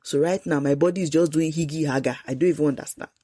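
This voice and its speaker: A Nigerian woman in her thirties hosting a solo podcast, congested with a sore throat, talking in an emphatic, animated way. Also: low-pitched, fast, dry recording, clean studio sound. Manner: animated, emphatic, quotes people in lively asides. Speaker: podcast host.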